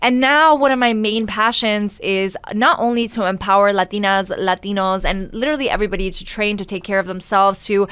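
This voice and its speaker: Smooth-voiced young American woman, casual podcast chat. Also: mid-range voice, fairly quick, few pauses, a bit bright. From born in Argentina, grew up in Miami, United States. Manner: informal, peppered with Spanish words and swearing. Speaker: podcast co-host, fitness instructor.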